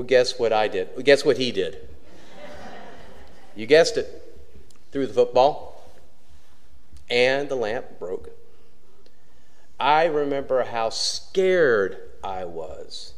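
A middle-aged man preaching at a slow, unhurried pace, his voice rising and falling widely as he tells stories from the pulpit. His words carry a long church reverb. He pauses often between phrases. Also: mid-range voice. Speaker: pastor. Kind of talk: sermon. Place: church sanctuary with wooden pulpit. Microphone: pulpit microphone.

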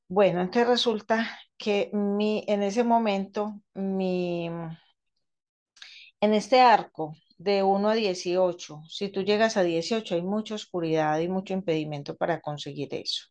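A woman gives an informal tutorial as a therapist, explaining haltingly and often rephrasing herself. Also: on the low side, darkish tone. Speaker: radionics therapist demonstrating a quantum table. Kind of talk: informal spoken tutorial with personal anecdotes. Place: indoors at a table, seated.

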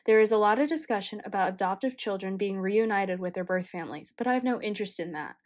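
Young woman reading her story quietly, mid pitch, thoughtful. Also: fast talker. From China. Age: young adult. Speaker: college student. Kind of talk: scripted personal narration.

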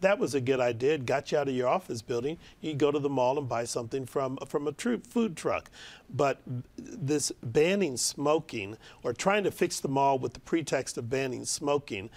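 A man's voice: medium pitch, expressive, moderate pace, darkish tone. A middle-aged man speaking plainly and conversationally as a panelist on a televised debate, on a lapel mic in a studio.

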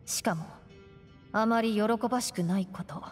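Calm, no-nonsense kunoichi for an anime game, played by a woman, medium pitch, terse delivery. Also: moderate pace, faint music behind, lightly acted. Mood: calm, serious. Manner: blunt, calm, clipped phrasing. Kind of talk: Japanese anime-style game voice acting.

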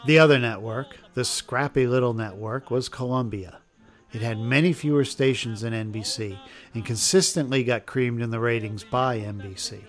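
Man, documentary narrator, scripted delivery, medium pitch. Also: normal speed, soft background music.

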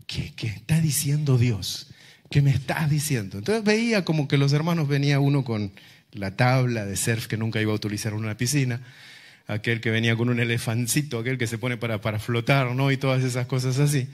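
A middle-aged man from Argentina with an Argentine accent preaches through personal stories on a handheld microphone, using rhetorical questions, pauses and repetition. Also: medium pitch. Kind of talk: sermon with personal storytelling to a congregation. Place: large indoor church auditorium, on stage.

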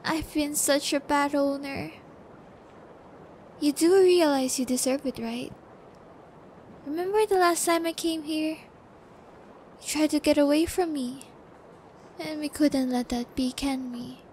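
A woman acting a sadistic young female captor in an ASMR audio roleplay, taunting and gloating with drawn-out, cruel menace. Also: high-pitched, darkish tone, slight background noise. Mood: cold taunting.

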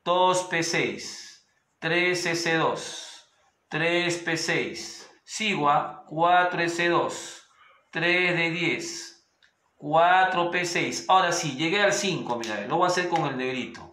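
Bright-voiced chemistry teacher. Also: male voice, a little high. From Peru. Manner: addresses students directly and voices their questions; slows to a sing-song when dictating orbitals. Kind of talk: whiteboard classroom lesson. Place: small room at a whiteboard. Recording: echoey room.

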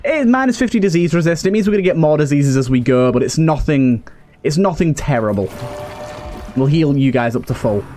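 A man's voice: a little high, lively intonation, fairly quick, dark tone. A man giving casual commentary as he plays a game, thinking aloud in an understated way. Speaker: gaming video host.

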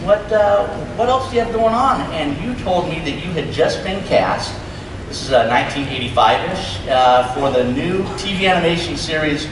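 A man's voice: fairly high pitch, distant mic. A middle-aged man hosting an informal onstage interview. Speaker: panel host. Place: large stage hall, recorded from audience. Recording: echoey, noisy crowd hall from afar.

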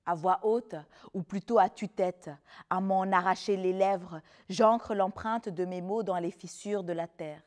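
A woman's voice: medium pitch, steady tone, a little slow, a little mellow. A woman slam artist, speaking as a spoken word performer. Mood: performing. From Belgium (Brussels), of Rwandan descent. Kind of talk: spoken word performance alternating with interview talk.

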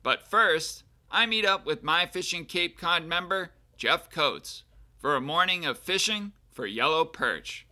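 A man in his thirties, host of a fishing show, narrating in a calm, steady scripted voice. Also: on the high side, unhurried. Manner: calm, steady narration, excited exclamations on the boat. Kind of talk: scripted narration and casual chat on a boat. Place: small boat on a freshwater pond, outdoors. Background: guitar music.

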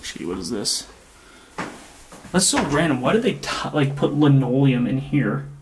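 A young man casually narrating his walk through an abandoned house, chatty and relaxed. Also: mid-range voice, measured pace, background noise. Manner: relaxed, chatty, frequent "like" and laughs. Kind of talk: casual walkthrough vlog. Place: inside an empty abandoned house.

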